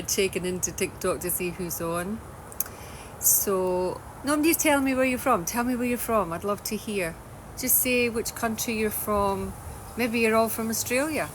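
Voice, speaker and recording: Chatty Scottish woman on a noisy livestream. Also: mid-range voice. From Scotland. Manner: chatty, loose, restarts often. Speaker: holistic wellness coach. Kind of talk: casual livestream talk. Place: home in Australia.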